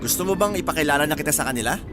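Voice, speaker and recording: Man playing a cheeky smitten suitor, high-voiced. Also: expressive, very fast, close to an everyday voice. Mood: joking. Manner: teasing, flirtatious, easygoing. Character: persistent, cheeky, smitten male suitor, hardworking rice hauler. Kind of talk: radio drama acting. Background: music.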